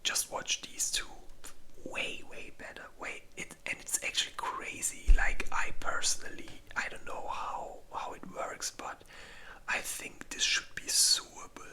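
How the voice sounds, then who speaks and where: An ASMR host speaking entirely in a breathy whisper, rambling informally through a casual review and often searching for words. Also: measured pace, sharp tone.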